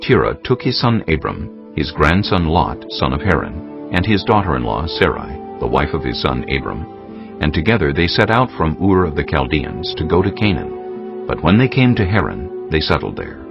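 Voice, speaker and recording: A man narrating a dramatized scripture recording in a measured, solemn storytelling style. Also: a bass voice, sing-song. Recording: muffled sound under clear music.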